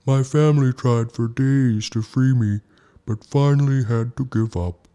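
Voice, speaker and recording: A man's voice: mid-range voice, mellow, clear, resonant tone, voice pushed up, lightly acted. A man doing a sobbing, soulful beluga whale. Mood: mournful. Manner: sobbing, slow and pitiful. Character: sad trapped male beluga whale, soulful singer.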